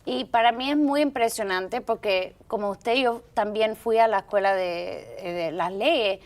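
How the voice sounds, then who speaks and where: A woman's voice: mid-range voice, measured pace. A woman hosting a Sunday television interview show, courteous and admiring toward her guest. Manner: courteous, admiring, formal introductions. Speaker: host.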